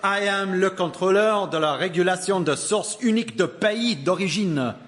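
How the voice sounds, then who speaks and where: An actor playing an officious, indignant French food-regulation enforcer with a French accent, barking pompously in a radio sitcom. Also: male voice, high-pitched, normal speed, a bit bright, echoey room. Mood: bossy, indignant.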